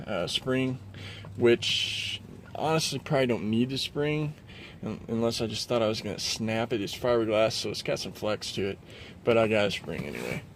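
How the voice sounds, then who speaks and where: A man's voice: mid-range voice, a little hiss or hum. A man hosting a casual, unscripted DIY car install walkthrough, explaining informally and in a rambling way. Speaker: DIY car video host. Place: in and around a car.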